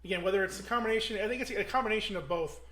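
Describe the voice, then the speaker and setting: Fast, bright-voiced opinionated man. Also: sits high. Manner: opinionated, fast, stumbling restarts. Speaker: podcast co-host. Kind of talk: casual movie review chat.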